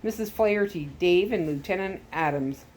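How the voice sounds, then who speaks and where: A woman reading a storybook aloud as an expressive narrator. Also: fairly low pitch, normal speed, slight background noise, rough recording. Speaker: story reader and host.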